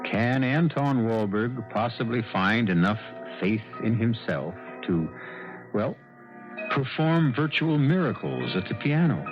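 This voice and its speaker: A man hosting a 1970s radio mystery series with portentous, rhetorical scripted narration and deliberate pauses. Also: on the low side. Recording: dull vintage radio recording. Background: dramatic music.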